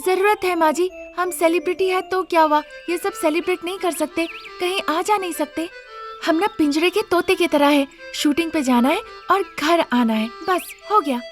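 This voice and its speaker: A woman's voice: very high voice, normal speed, soft background music, pitched above the performer's own voice. A woman voicing an eager, persuasive young celebrity daughter-in-law in an emphatic, pleading way, in a story. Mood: insistent.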